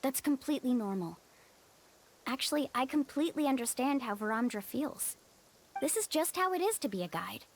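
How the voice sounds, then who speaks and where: High-voiced, confident young guide. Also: female voice, expressive, normal speed, a little hiss or hum. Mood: reflective. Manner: firm, assured. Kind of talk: video game character acting.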